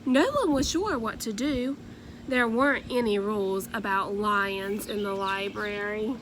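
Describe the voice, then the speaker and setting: A woman reading a children's storybook aloud in an expressive, measured narrating manner. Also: medium pitch, noisy background. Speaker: storybook reader.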